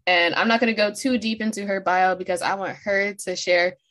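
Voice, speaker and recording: A woman's voice: medium pitch, steady tone, brisk, a bit bright. A woman in her twenties hosting a podcast interview in an upbeat, conversational style. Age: 20s.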